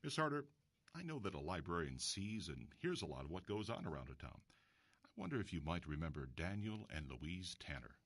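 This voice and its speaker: A man playing an earnest, inquisitive investigator in an audio drama, telling a mystery in a measured storytelling way. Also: deep voice, big pitch swings, fairly bright tone, no echo, exaggerated voice. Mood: earnest, probing. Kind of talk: audio drama acting with first-person narration.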